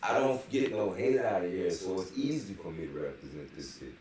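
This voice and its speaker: A man's voice: low voice, expressive, brisk, far from the mic. A middle-aged man giving a casual, slangy interview, speaking emphatically and often checking for agreement. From San Antonio, Texas. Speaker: interview guest, rapper. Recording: very echoey room, off-mic, faint noise.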